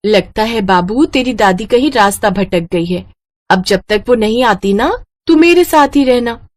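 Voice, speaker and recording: Woman as protective young big sister, animated pitch. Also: a little slow, no echo, studio quality. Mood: soothing. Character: protective, streetwise young elder-sister figure, poor. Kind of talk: cartoon moral story.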